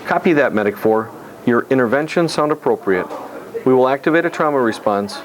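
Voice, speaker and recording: A man's voice: medium pitch, expressive, brisk. A man on emergency hospital staff speaking in a scripted, instructional style. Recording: clearly noisy, ambient background sound.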